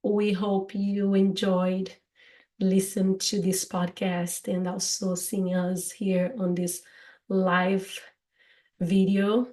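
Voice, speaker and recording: A woman with a Brazilian Portuguese accent talks at a slow, unhurried pace in a relaxed English lesson podcast. Her voice sits in a middle range, and she laughs easily as she explains words. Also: mid-range voice. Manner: laughs often, slow and careful. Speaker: English teacher and co-host.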